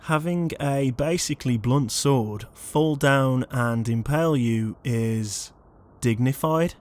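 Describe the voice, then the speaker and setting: A man's voice: medium pitch, slow pace, a little mellow, lightly acted. A man playing an exasperated, conscientious ghost in a comedic audio roleplay, flustered, hesitant and pleading. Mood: exasperated, urgent. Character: exasperated, conscientious male ghost, murdered shut-in.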